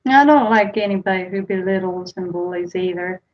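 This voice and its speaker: A woman's voice: lowish voice, normal speed. A woman in her forties from the United States, chatting casually on a home live stream and answering viewers' comments one by one. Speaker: vlogger reading live chat. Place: small room at home, indoors. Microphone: webcam built-in mic. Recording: slightly dull lo-fi webcam audio.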